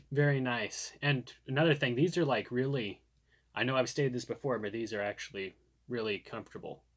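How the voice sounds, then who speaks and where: A man's voice: mid-range voice, a bit bright. A man giving a casual product review to camera, chatting and thinking aloud as he demonstrates.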